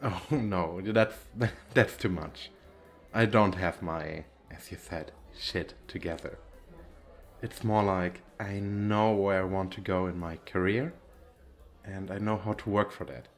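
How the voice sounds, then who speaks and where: A man's voice: sits low, slow, soft background music. A man playing a nervous, bashful, well-off young businessman on a date in an ASMR roleplay, with hesitant, stammering speech. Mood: flustered, defensive. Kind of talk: ASMR roleplay, one-sided conversation with the listener.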